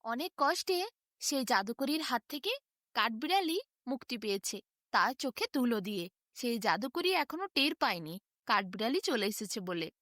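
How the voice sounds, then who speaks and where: Woman acting a small timid storybook squirrel, bright voice, fearful, pleading, dry sound. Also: on the high side, big pitch swings, normal speed, dry recording. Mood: sorrowful. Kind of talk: storybook animal character voice.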